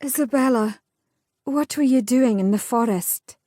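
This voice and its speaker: Firm protective young sister, high and soft-toned, a woman doing her. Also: a little slow, dry recording, studio quality. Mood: insistent.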